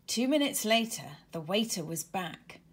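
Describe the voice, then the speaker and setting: A woman reading a children's storybook aloud in an expressive, deliberate way. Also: medium pitch, slightly rough. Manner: animated, deliberate. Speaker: author reading own storybook.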